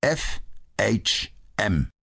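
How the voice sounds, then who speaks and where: A man's voice: lowish voice, big pitch swings, very slow, vocal fry, a bit bright, breathy edge, voice pushed up, heavily acted. Lestrade, a man playing a blustering police inspector. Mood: indignant. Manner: blustering and bitter. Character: gruff, indignant police inspector, male. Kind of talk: audiobook character voice.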